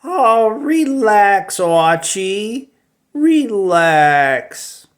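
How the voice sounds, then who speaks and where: A man puts on a laid-back teenage sidekick from a radio comedy. The voice jumps high and stretches words out in an exaggerated, soothing way. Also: very animated, very slow, full character voice. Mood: exasperated, soothing. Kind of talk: old-time radio comedy acting.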